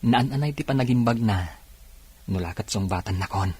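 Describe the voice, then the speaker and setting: A man's voice: mid-range voice, moderate pace, darkish tone, slightly rough, natural voice. A man acting an earnest, dutiful young policeman in an Ilocano radio drama, speaking with an Ilocano accent. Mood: tender, pleading. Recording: music bed behind radio drama.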